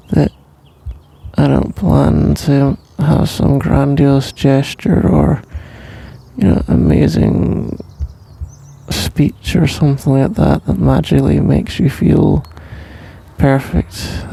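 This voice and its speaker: ASMR boyfriend role, male actor: British accent, sleepy and reassuring, slow, gentle delivery with a very husky, breathy murmur. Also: mid-range voice, steady tone, dry recording, exaggerated voice. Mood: tender, reassuring. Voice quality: breathy, husky, soft-spoken. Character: tender, sleepy, reassuring boyfriend comforting a partner. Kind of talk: soft intimate ASMR roleplay.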